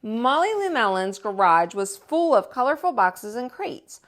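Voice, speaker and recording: A woman reading a children's picture book aloud as a storytime host, with expressive, drawn-out emphasis. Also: on the high side.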